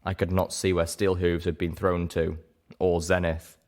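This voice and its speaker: A man's voice: low-pitched, level tone, normal speed, a little mellow. A man reading scripted story narration as an audiobook reader.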